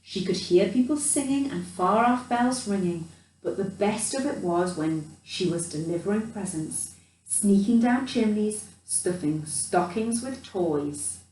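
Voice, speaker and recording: A woman reading a children's picture book expressively, in an animated storyteller's manner with chatty asides. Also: medium pitch, measured pace, a little hiss or hum. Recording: roomy echo, distant microphone.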